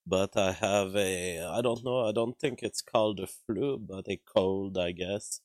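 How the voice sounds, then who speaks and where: A man hosting a live stream, hoarse from a cold, talking in a halting way with many fillers and throat-clearing. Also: low voice, a little mellow, no echo. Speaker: livestream show host. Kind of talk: casual live-stream commentary reading chat. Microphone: streaming microphone.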